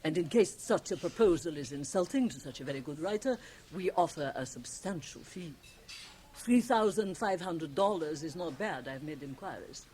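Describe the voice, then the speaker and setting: A bossy persuasive lady in an old radio play, formal and deliberate, bit hissy. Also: low-pitched, lively intonation, mellow. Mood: businesslike persuasion. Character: imperious, shrewd, persuasive female company autocrat. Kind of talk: radio drama acting. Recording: hissy 1980 radio cassette transfer.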